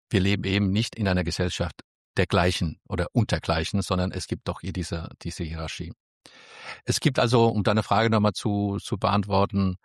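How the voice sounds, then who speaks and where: A man's voice: deep voice, no echo, studio quality. A man of Spanish roots answering interview questions thoughtfully, choosing his words carefully with frequent restarts. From Spain. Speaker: interview guest.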